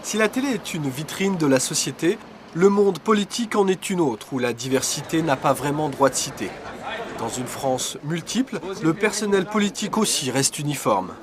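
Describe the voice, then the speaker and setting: A man reading a documentary voice-over in a measured, earnest way. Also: fairly high pitch, expressive, crowd noise. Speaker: documentary narrator. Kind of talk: scripted voice-over narration. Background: music.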